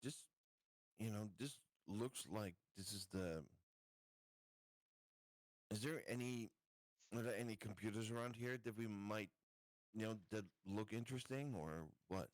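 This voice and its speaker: A man's voice: fairly low pitch, measured pace, pauses often, no room sound. Questioning male gamer, dry close sound. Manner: questioning, stumbling over his phrasing. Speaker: tabletop game player. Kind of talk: casual game-table chat.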